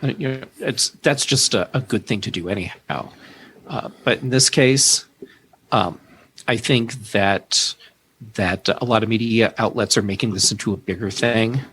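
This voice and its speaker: A man as a podcast guest, giving casual tech explanations in a measured, careful way. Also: on the low side, a bit bright. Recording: clear background noise.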